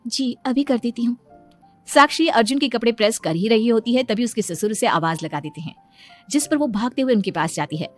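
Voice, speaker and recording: High-pitched woman narrating a story. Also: animated, brisk, soft background music.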